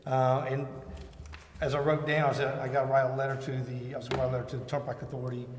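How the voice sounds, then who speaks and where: A man chairing a city council meeting informally, with a halting way of talking. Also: mid-range voice, level tone. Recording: echoey chamber with background noise.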